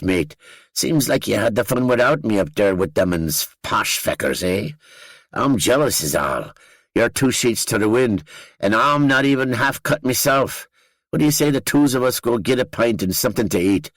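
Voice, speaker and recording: A man voicing a rowdy, hard-drinking young Northern Irish man in his twenties, teasing and loud in a Northern Irish accent. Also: mid-range voice, moderate pace, dry recording, pitched above the performer's own voice, natural voice. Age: 20s.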